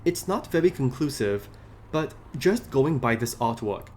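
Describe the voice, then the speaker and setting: A man hosting a scripted video essay about game lore, explaining in a measured way. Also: mid-range voice, animated, darkish tone, a little hiss or hum. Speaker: video host.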